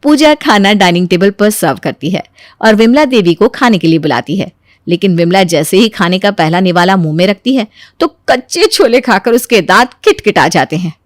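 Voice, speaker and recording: A woman reading scripted storybook narration for an animated moral tale. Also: medium pitch, normal speed, no room sound, studio quality.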